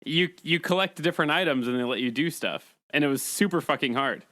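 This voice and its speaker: A man's voice: a little high, fairly quick, bright, forceful tone, no echo, clean studio sound. A man hosting a podcast, moderating a panel in casual, joking chat.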